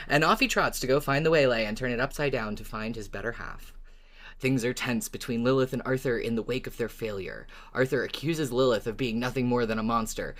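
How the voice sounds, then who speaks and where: A podcast co-host with an expressive, wry way of talking through recaps and casual discussion. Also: mid-range voice.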